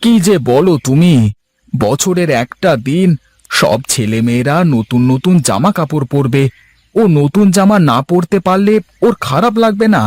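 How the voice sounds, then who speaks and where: A man's voice: fairly high pitch, animated, moderate pace, no room sound, lightly acted. A man voicing a poor, humble village father in a cartoon fairy tale, hesitant and deferential. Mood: worried.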